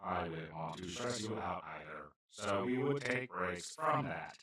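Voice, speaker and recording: Heavy echo surrounds a kindly, well-meaning male alien, acted by a man, heard a little way off from the mic. His voice is bright and forward. He talks to the listener like a careful, awkward host in a comedy roleplay, earnest, formal and prone to rambling. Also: deep voice, expressive, unhurried, a little hiss or hum, heavily acted. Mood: earnest, hopeful. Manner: gentle, earnest, apologetic, slightly formal and rambling. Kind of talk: scripted comedic audio roleplay, addressing the listener. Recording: roomy, echoing, distant-sounding voice.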